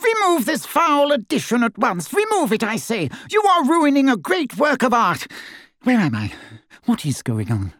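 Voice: medium pitch, huge pitch swings, moderate pace, fairly bright tone, no echo, clean studio sound, pitched above the performer's own voice. A performer voicing a cold, haughty old wizard in a portrait, with pompous, sneering, old-fashioned speech, in an audiobook. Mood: outraged.

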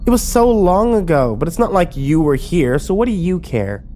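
Man putting on a shrill, snide young woman. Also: sing-song, a little slow, a little mellow, slight background noise. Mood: smug, defiant. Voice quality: shrill, high-pitched. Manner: scoffing, stammers when cornered. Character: shrill, smug, snide young woman, sheriff's possessive wife.

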